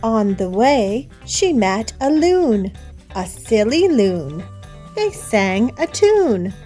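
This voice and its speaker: A woman hosting story time, reading a picture book aloud to young children in a deliberate, sing-song manner. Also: on the high side, very animated, warm tone, soft background music. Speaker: children's storybook reader and host.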